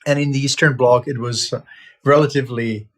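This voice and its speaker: A man in his fifties who grew up in Czechoslovakia, talking as a vet about how he came to his work. He tells it casually and reflectively, stopping often on "uh" and starting phrases over. His voice sits at an ordinary middle pitch for a man. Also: fairly even intonation, moderate pace. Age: 50s. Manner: reflective, with frequent "uh" fillers and restarts. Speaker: veterinarian sharing his life story. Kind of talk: casual personal storytelling.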